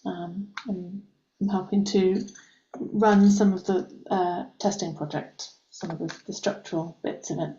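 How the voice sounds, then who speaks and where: A woman from the UK, hosting an online meeting as program manager in a friendly way, with gentle fillers. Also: on the low side, steady tone, unhurried, a little hiss or hum. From United Kingdom. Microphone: video call. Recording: roomy, slightly dull call audio.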